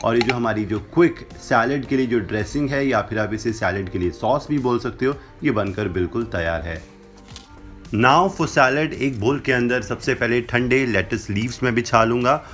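A young man hosts a home cooking show in an instructive, steady way, dropping English phrases into his explanations. His voice sits at an average pitch. Faint music plays softly beneath him. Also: normal speed. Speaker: cooking channel host. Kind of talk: recipe demonstration voice-over. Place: home kitchen with brick wall.

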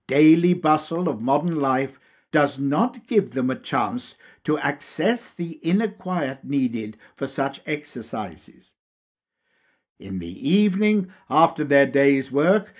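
Slow, husky male audiobook reader. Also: a little high, big pitch swings. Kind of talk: scripted narration, reading a lecture aloud.

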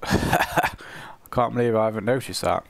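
A man talks his way through a building game, thinking aloud and laughing at his own slip-ups. His voice sits a little low and is rough and gravelly, hoarse from a cold. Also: normal speed, no echo. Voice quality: croaky, gravelly. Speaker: let's play host. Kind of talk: casual gameplay commentary.

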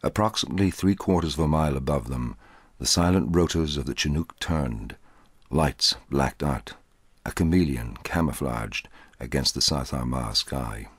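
A middle-aged man reading a short story aloud in a measured, dramatic way, with a husky voice. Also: very deep voice, no room sound. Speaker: audiobook narrator.

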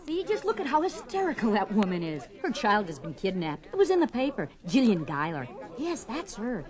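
Anxious, disapproving wife, animated woman actor. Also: mid-range voice, fairly quick, natural voice. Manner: scolding, anxious. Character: embarrassed, disapproving suburban wife and mother. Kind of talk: 1970s dramatised story record acting.

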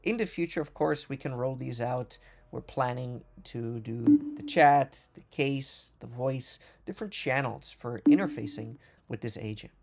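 Man with a gravelly voice walking through software. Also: mid-range voice. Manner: fluent, fast corporate explanation with occasional restarts. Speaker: product manager presenting a software demo. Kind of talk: recorded product walkthrough over slides and screen. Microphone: voice-over on screen recording.

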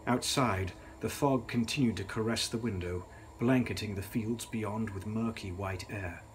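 A young man giving a measured, theatrical reading of gothic fiction as its author. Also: fairly low pitch, a little hiss or hum. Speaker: author reading own novel. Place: dark indoor room, on camera.

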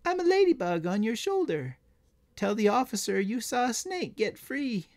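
A man's voice: extremely high pitch, sing-song, normal speed, pitched above the performer's own voice, full character voice. A man voicing a small storybook ladybug that coaxes and insists. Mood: coaxing. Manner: coaxing, insistent. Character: small insistent talking ladybug, coaxing telepathic helper.

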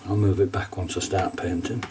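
A middle-aged man with a stuffy, nasal voice from a cold talks through a watercolour demonstration in a relaxed, chatty way. His voice sits fairly low and a little away from the microphone. Faint household noise hums behind him. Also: fairly quick, distant mic. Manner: relaxed, chatty, with small laughs and fillers. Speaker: watercolour teacher. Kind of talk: casual step-by-step painting demonstration. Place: painting desk at home, indoors.

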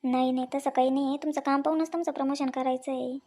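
A woman's voice: high-pitched, steady tone, fast talker, lightly acted. A woman playing a courteous, approving office boss in a family story cartoon. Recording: faint background noise.

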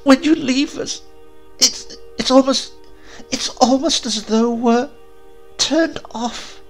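A man reading a storybook, voicing a clingy young woman who pleads in a high, tearful voice. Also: slow pace, faint music behind, full character voice. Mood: sobbing.

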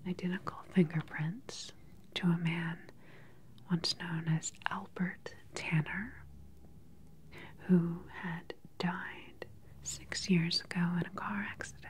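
A woman in her thirties whispering gently and methodically in an ASMR roleplay as a calm research doctor questioning a patient, close to the microphone. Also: lowish voice. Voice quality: breathy, unvoiced whisper.

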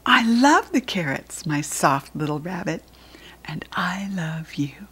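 Lady doing a sweet loving animal mom from a kids book, american, draws words out slow, tender. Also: lowish voice, expressive, fairly bright tone, breathy edge, heavily acted. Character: loving animal mama praising her baby's gift.

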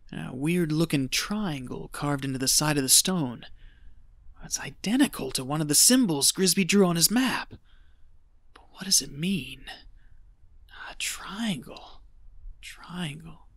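An actor playing an exhausted, rambling treasure hunter losing his wits, folksy and breathy, muttering to himself in an audio drama. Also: on the high side, fairly bright tone, dry recording, full character voice. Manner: folksy, muttering to himself, slow halting delivery.

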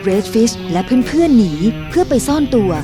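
A woman narrating a dubbed children's cartoon in a slow, expressive storybook reading. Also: on the high side. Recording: music bed under voice.

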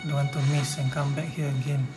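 A male gamer comments casually on his walkthrough. He keeps to short, simple remarks about what to do. Game music is clearly heard behind him. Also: on the high side, little pitch movement, fast.

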